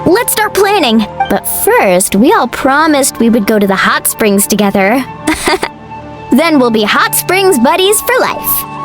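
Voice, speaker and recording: A high, animated young girl, bubbly and friendly with an easygoing streak. It is game cutscene acting over clear music. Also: unhurried. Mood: excited, cheerful.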